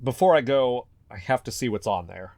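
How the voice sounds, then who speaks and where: A man playing an anxious, paranoid fugitive podcaster in an audio drama, earnestly talking to himself in halting mutters. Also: mid-range voice, animated, a little mellow, no echo, close to an everyday voice. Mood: agitated, paranoid. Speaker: investigative podcast host in an audio fiction. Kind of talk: audio drama found-recording monologue.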